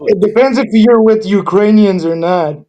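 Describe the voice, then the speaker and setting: High-voiced man. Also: warm tone, highs cut off. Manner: hesitant, halting, with many ums and restarts. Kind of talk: casual chat.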